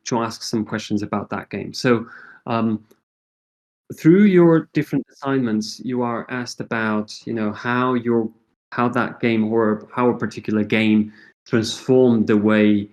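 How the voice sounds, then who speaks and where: A man's voice: mid-range voice, a little mellow. A middle-aged man with a Portuguese accent, a native Portuguese speaker, answering interview questions thoughtfully with many fillers and restarts. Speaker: associate professor, guest.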